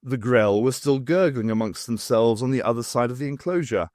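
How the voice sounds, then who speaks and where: A man's voice: medium pitch, brisk, a little mellow, dry recording, studio quality. A man reading a science fiction story aloud as scripted audiobook narration. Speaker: audiobook reader.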